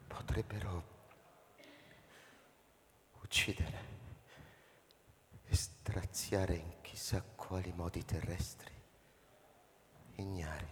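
A man's voice: low voice, slight background noise. A man playing a frightened, paranoid spaceship captain in a theatrical radio drama, swinging between murmurs and agitated outbursts. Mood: hushed dread. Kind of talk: theatrical radio drama monologue with live music. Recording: reverberant hall, music over the voice.

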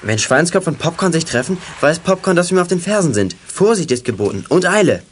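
A man's voice: a little high, big pitch swings, quick pace, a little hiss or hum. A young-sounding male actor playing an eager, watchful boy detective in a children's audio drama. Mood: urgent. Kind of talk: German children's radio play acting.

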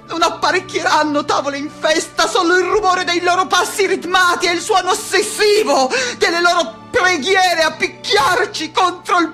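A woman's voice: very high voice, bright, forceful tone, exaggerated voice. An anguished aging woman teacher, over music. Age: older. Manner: halting, repeating words, dramatic. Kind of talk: theatrical stage monologue. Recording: music under the voice.

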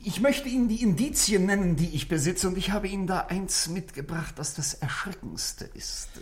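A man's voice: high voice, normal speed, lightly acted. A man acting an anxious, troubled husband in a 1960s German radio play, hesitant and apologetic, often dropping into whispery undertones. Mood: tense, insistent. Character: troubled married man, anxious, self-taught, convinced he is being poisoned. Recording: vintage 1960s radio drama recording.

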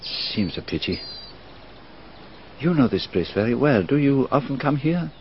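An actor playing a fastidious middle-aged detective, speaking in a courteous, formal, probing way. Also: male voice, medium pitch, very animated. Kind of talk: 1980s-style BBC radio drama. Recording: dull lo-fi archive radio, faint hiss.

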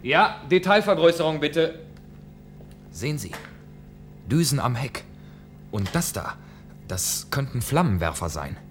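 An actor playing a composed, dutiful senior spaceship officer in a German science-fiction radio drama, speaking precisely and in a measured way. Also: male voice, medium pitch, sing-song, soft background music. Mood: analytical.

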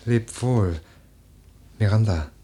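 Ernster junger Edelmann, tief, rau gehaucht. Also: male voice, on the low side, warm tone, slightly rough. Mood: gentle, sorrowful. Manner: declamatory, measured, earnest verse-drama delivery. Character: earnest young widowed gentleman, solemn, admonishing cousin. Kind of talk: 1940s German radio play acting. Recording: old 1949 radio drama recording.

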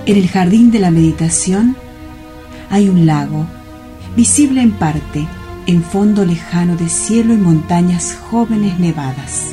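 A woman's voice: lowish voice, unhurried, warm tone. A woman narrating a radio drama, reading scripted scene descriptions. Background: music.

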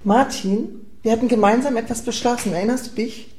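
A woman's voice: fairly high pitch, fairly quick, close to an everyday voice. An actress playing a blogger's weary girlfriend, dry and patronising, in a satirical radio play. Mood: weary, reproachful. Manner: deadpan, patronising.

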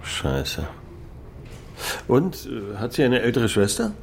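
A man's voice: mid-range voice, wildly swooping pitch, slow pace, a little hiss or hum, heavily acted. An actor playing an impatient male police investigator who asks blunt, brusque questions in a radio play.

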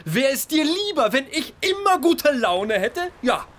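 A man's voice: extremely high pitch, sing-song, a little slow, fairly bright tone, heavily acted. An actor playing an irritable, self-pitying theater actor in his thirties, speaking colloquial dialogue in a naturalistic, defensive, agitated way. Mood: exasperated, hurt. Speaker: actor in an audio drama. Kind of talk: naturalistic audio drama dialogue.

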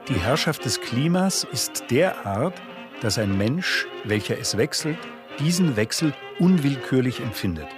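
Man, scripted literary radio narration, measured delivery, mid-range voice, musical backing. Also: mid-range voice, lively intonation, loud music behind the voice.

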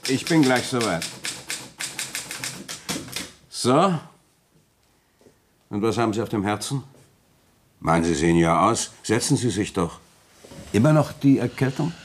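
A busy village notary with a fairly low voice, smooth-talking and evasive. It is a radio play, with clear noise and typewriter clicks behind him. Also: male voice. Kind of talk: German radio drama acting.

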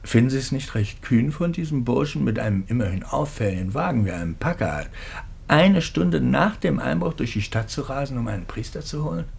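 A man's voice: mid-range voice, animated, a little fast, gravelly, darkish tone, breathy edge. An actor playing a loyal, earnest clergyman in a 1950s radio play, formal and emphatic in manner. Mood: impassioned. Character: loyal, earnest male clergyman, firm in defending a colleague.

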